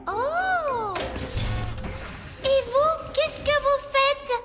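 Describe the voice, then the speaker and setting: A sweet, childlike cartoon fairy princess, full of wide-eyed curiosity. The voice is extremely high and slow-paced, echoing slightly over prominent music. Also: female voice, full character voice. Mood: curious, delighted. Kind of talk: French cartoon dub. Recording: reverberant, loud music underneath.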